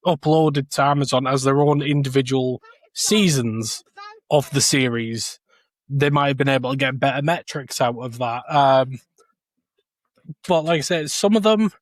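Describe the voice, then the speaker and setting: A man's voice: medium pitch, dry recording. A man chatting casually as a podcast panellist, giving blunt opinions and swearing freely.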